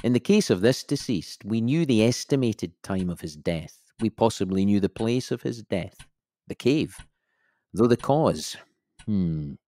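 A very low-pitched, officious investigator in an audio drama. He speaks in a measured, formal way, recorded dry and close. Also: lively intonation, warm tone, no room sound. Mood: measured, official. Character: dry, officious male investigator of a suspicious death.

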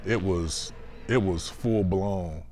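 Deep-voiced man, emphatic storyteller. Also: takes it slowly, darkish tone, a little hiss or hum. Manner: emphatic, repeats phrases. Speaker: former football player. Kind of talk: casual interview recollection.

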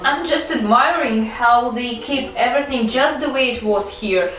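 A young woman chatting excitedly to a handheld camera as a travel vlogger, with lots of "like"s and restarts. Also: fairly high pitch, moderate pace, music in the background, low-quality recording. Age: young adult. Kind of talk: casual travel vlog talk to camera. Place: outdoors on a farm and inside a small historic house.

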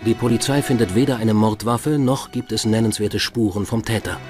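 A man's voice: fairly low pitch, a little fast, music in the background. A man reading scripted documentary narration as the narrator of a true crime podcast. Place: voice-over with music behind.

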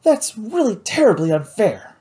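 An audiobook reader voicing a wealthy, eager, well-meaning young woman who is earnest and quick to take offence at unfairness. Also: mid-range voice, very animated, dark tone, breathy edge, voice pushed up. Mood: indignant.

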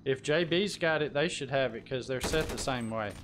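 A man's voice: medium pitch, normal speed, noisy background. A man chatting casually with friends as he hosts a gaming live stream.

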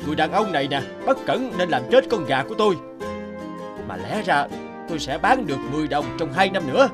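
Greedy stubborn chicken seller, cartoon, highish and argumentative, music behind. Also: male voice, sing-song, brisk. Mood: complaining. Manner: insistent, argumentative. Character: indignant poultry seller, greedy and stubborn, demanding payment. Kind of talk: animated folk tale dialogue.

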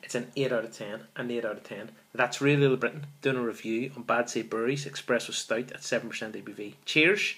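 A man's voice: mid-range voice, a little fast, few pauses, fairly bright tone, slight background noise, rough recording. A man in his thirties hosting a casual beer review vlog, enthusiastic and repetitive, often calling viewers folks, filmed in a small room on a camera in front of him. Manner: enthusiastic, repeats words, calls viewers folks. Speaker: beer reviewer, channel host.

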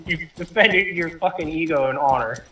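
A man's voice: a little high, normal speed, pushed and bright. A sarcastic, profane man griping and bantering casually with fellow gamers in voice chat. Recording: roomy, distant mic with background chatter.

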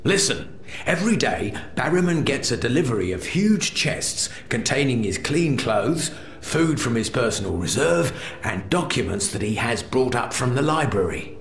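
An actor playing a shady, mocking crime boss in a video game, smug and conspiratorial. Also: male voice, mid-range voice, very animated, normal speed, reverberant, a little hiss or hum. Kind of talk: video game character acting.

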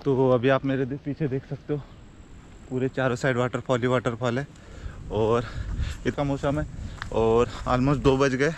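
A man's voice: medium pitch, steady tone, unhurried, long pauses. A young man in his twenties, chatting casually as he rides and treks outdoors, upbeat as he shows off the views. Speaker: motovlogger. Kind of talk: casual travel vlog narration. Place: outdoors on hills and riding a motorbike in rain. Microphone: action camera, handheld at arm's length while trekking. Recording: dull lo-fi action camera audio with wind and traffic noise.

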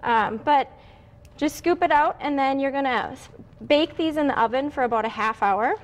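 A young woman with a high, light voice, guesting on a morning show to demonstrate a home recipe. She talks casually and explains each step in a friendly way, with a lapel mic in a studio kitchen. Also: moderate pace. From Wisconsin.

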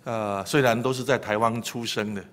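A middle-aged man with a Taiwanese Mandarin accent preaching a sermon at a church pulpit, pausing often with filler sounds between phrases. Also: fairly low pitch. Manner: many fillers, animated when telling jokes. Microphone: lectern microphone.

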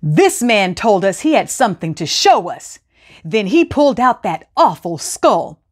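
A woman voicing a pompous, excitable man who declaims self-importantly in a children's folktale. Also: mid-range voice, measured pace. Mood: excited, earnest.

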